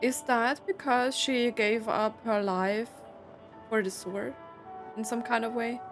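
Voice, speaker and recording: A woman's voice: fairly high pitch, steady tone, slow, music in the background. A woman streaming a game, giving casual, musing reactions and reading on-screen text aloud.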